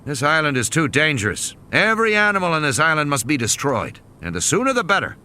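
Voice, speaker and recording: A man's voice: fairly high pitch, very animated, quick pace, sharp tone, voice pushed up, heavily acted. A man playing a stubborn, evasive male lawyer in an audiobook, insistent and argumentative. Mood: alarmed, insistent. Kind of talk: audiobook character dialogue.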